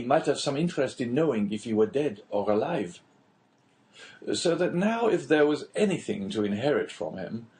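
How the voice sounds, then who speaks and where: A man's voice: fairly high pitch, darkish tone, voice pushed up, natural voice. A man voicing a jovial, talkative French prison inspector in an audiobook, with an eager, emphatic manner. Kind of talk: audiobook character dialogue.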